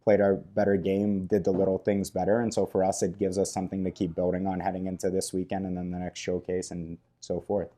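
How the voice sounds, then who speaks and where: Deep, flat-voiced male coach. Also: brisk, hardly any pauses. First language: Russian. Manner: relaxed, many fillers like "you know". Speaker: head coach. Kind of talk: casual sports interview. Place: coach's office at an ice rink.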